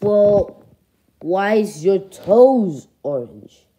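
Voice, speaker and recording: Woman as a slow, weary, hesitant caretaker. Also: medium pitch, lively intonation, dark tone, husky, exaggerated voice, poor audio. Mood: sheepish. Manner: hesitant, halting. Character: weary, evasive caretaker fibbing to a customer. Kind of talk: comedic character skit.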